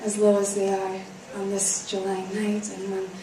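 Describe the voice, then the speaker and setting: A woman performing spoken word poetry in a dramatic, deliberate manner, drawing out words for emphasis. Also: medium pitch, completely flat delivery, crowd noise. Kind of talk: slam poetry recitation. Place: echoey room, recorded at a distance. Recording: echoey room from far off, faint noise.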